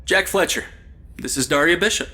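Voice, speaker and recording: A man's voice: fairly high pitch, moderate pace, bright, forceful tone. An actor playing a suspicious, wisecracking everyman guest in an audio drama, with dry sarcasm. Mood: wary, sarcastic.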